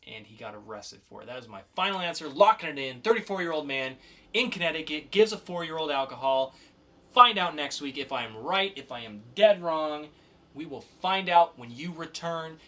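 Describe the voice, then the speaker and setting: Animated, bright-voiced man co-hosting a podcast. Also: fairly high pitch, normal speed. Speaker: podcast co-host. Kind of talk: casual banter with game-show flair.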